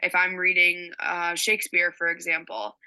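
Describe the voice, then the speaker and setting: A woman's voice: on the low side, completely flat delivery, moderate pace, bright, forceful tone, clean tone, low-quality recording. A young woman giving a recorded class presentation on a teaching strategy, explaining step by step with frequent ums. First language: English.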